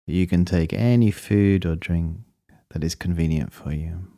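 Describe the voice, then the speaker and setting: A man leading a guided meditation, speaking in a calm, measured and gently instructing manner. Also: low-pitched, no room sound, studio quality.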